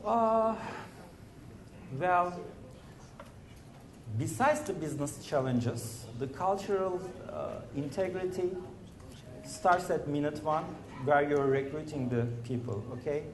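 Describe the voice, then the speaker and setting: A man speaks on a conference panel about his startup, in an earnest, measured way with plenty of "you know" and "uh". His voice sits a little high for a man. The sound rings around a large, echoey hall. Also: expressive.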